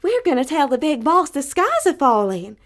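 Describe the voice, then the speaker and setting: A woman plays an excited little storybook chicken, pitched high and swooping. The delivery is singsong, earnest and full of exclamation. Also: normal speed. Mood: excited, urgent. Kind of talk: fairy tale storytelling.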